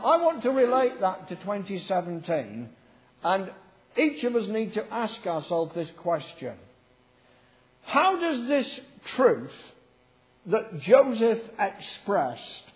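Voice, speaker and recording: A middle-aged man preaching in a church, his voice croaky from a cold. He speaks slowly and warmly, joking with the congregation as he goes. The sound is dull and rings with a long hall reverb. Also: high voice. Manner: chatty, joking preacher leading the congregation in reading a verse aloud. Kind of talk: sermon.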